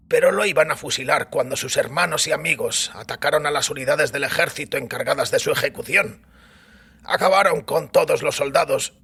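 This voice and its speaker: An actor playing a weary older priest who recounts a wild youth in a wry, reflective way, with a raspy voice. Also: male voice, fairly high pitch, fairly bright tone, exaggerated voice. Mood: narrating earnestly. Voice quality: raspy, gravelly. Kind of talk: dramatised audiobook acting. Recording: music bed under the voice.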